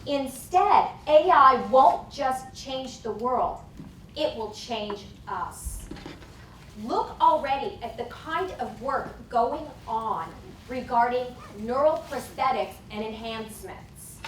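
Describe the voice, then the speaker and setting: A woman's voice: fairly high pitch, animated, a bit bright. Woman lecturing in an echoey hall. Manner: emphatic, deliberate lecturing. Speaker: conference speaker. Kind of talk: academic lecture. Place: conference hall. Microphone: lapel mic. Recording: echoey hall from far off, faint noise.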